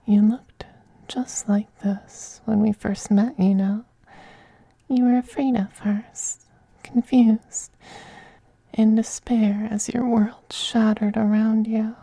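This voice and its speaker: A performer voicing a seductive, possessive male femboy vampire in an intimate ASMR roleplay, whispering softly and coaxing in a teasing, gently domineering way. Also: medium pitch, little pitch movement, slow pace, no echo, low-quality recording. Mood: adoring, possessive. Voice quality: breathy, soft whispering.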